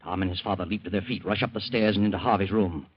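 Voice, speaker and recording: An actor as an ominous storyteller with an American accent, giving husky, dramatic scripted narration for a radio mystery. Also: male voice, low-pitched, steady tone, quick pace. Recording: muffled old radio recording with music.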